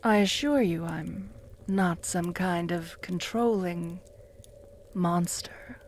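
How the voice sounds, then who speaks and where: Woman playing a slow, whispering possessive vampire. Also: lowish voice, slight background noise. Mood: jealous, possessive. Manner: slow, purring, often dropping to a whisper; taunting, with soft mocking laughs. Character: possessive jealous female vampire, cruel, mocking. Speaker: voice actor in audio roleplay. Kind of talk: audio roleplay addressed to the listener.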